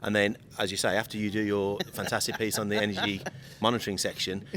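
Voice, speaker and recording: A man in his late forties with a slightly croaky voice, chatting as a guest on a podcast and often repeating words while he searches for them. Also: fairly low pitch, little pitch movement, fast talker, fairly bright tone, a little hiss or hum. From United Kingdom. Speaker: guest from an electrical equipment maker. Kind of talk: casual podcast chat.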